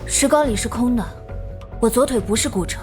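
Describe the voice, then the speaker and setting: A woman playing a resigned young female student confessing in an animated drama. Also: a little high, fairly quick, soft background music, lightly acted. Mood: resigned, bitter.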